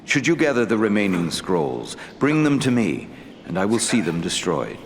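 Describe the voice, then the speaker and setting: A man playing a wise, ancient elf lord in a fantasy game, speaking gravely in formal, deliberate phrasing. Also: on the low side, big pitch swings, slight background noise. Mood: measured, reassuring. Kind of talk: fantasy game dialogue acting. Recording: long hall reverb, faint music.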